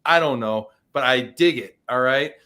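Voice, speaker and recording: A man's voice: mid-range voice, normal speed, sharp tone. A man hosting a live-streamed interview, playful and teasing, reading out viewer comments with frequent restarts. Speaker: show host.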